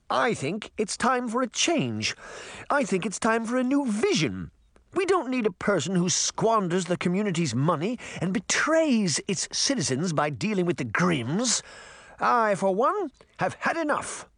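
A man voicing a pompous, furious fairy-tale queen in an audiobook, with a high, strident voice. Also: no echo, clean studio sound. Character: shrill pompous fairy-tale queen, middle-aged, ranting. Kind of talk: audiobook character voice.

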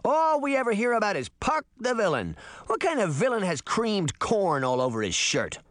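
A man voices a bossy storybook girl, the older sister who has had enough. The voice is raised high and very lively in pitch. She is sharp-tongued, sarcastic and insistent, in a dry recording. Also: normal speed, no echo. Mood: scornful anger.

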